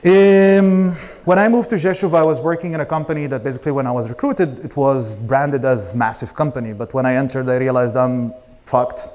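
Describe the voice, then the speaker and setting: A man's voice: medium pitch, steady tone, a little fast, a little mellow. A man in his thirties from Israel, an Arabic speaker, giving an informal, blunt personal talk as a startup founder. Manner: casual, blunt, swearing.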